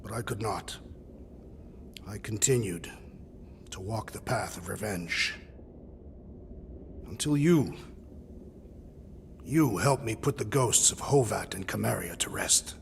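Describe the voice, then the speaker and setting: A man playing a solemn, honour-bound alien warrior in a video game cutscene, speaking gravely and formally. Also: lowish voice, animated, slow pace, pauses often, big-room sound, a little hiss or hum, exaggerated voice. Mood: solemn, reflective. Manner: grave, formal, storytelling delivery without contractions.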